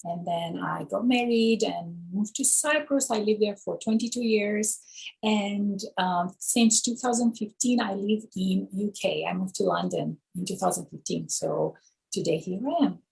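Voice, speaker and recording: A woman from Romania, a guest author, answering interview questions thoughtfully with many pauses and ums. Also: mid-range voice, creaky, a little mellow, husky, poor audio.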